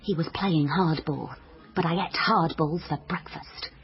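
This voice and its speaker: A British actress playing a self-important, overconfident amateur sleuth, narrating a radio comedy drama in melodramatic hardboiled style. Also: female voice, sits low, measured pace, dull audio. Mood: hardboiled, intent. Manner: melodramatic, pompous. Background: prominent music.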